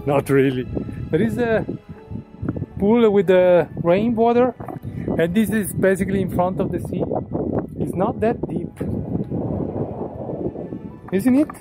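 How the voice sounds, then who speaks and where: Halting man vlogging outdoors. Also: sits high, animated, rough recording. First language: Italian. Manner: hesitant, many "uh" fillers while telling a story. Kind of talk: casual travel vlog. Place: outdoors on a rocky seaside coast. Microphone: action camera on a selfie stick. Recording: background music.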